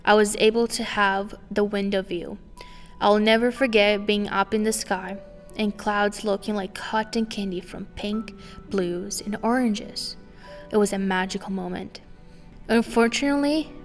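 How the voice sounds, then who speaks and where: A young woman from Romania, whose first language is Romanian, reads her own story aloud in a calm, reflective way. Her voice sits at an ordinary pitch with a gravelly edge of fry. The recording carries a long reverb, with faint music underneath. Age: 20s. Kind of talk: scripted personal narration.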